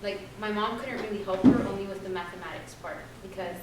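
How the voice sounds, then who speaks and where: A woman's voice: medium pitch, a little fast, heavy background noise. A young woman on a panel telling her family's story in a loose, chatty way, full of fillers. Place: large echoey room. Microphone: distant room mic. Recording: echoey hall recorded from far off.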